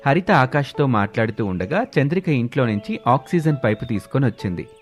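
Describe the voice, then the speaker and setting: A man's voice: medium pitch, very animated, fast. A man narrating a scripted story as its storyteller. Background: background music.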